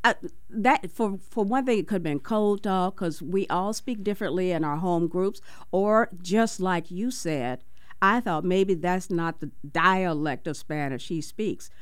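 Earnest radio co-host, smooth, dry, impassioned, mid pitch. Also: unhurried, no echo. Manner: earnest, impassioned, hesitant at times. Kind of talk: community radio talk show.

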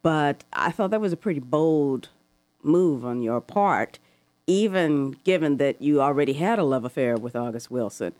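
A woman hosting a call-in radio talk show, asking long, thoughtful questions with soft laughs. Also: deep voice, animated, moderate pace, no room sound. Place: radio studio.

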